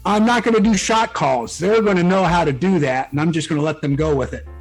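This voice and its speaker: A man's voice: fairly high pitch, a little fast, rough recording. A man speaking as a guest author in a casual interview, explaining things conversationally. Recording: background music. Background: music under the talk.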